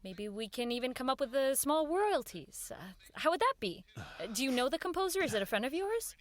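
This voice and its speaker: A woman's voice: high voice, big pitch swings, fairly quick. An actress playing an earnest, persistent woman who runs a radio show, in audio drama dialogue and first-person narration. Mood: earnest insistence.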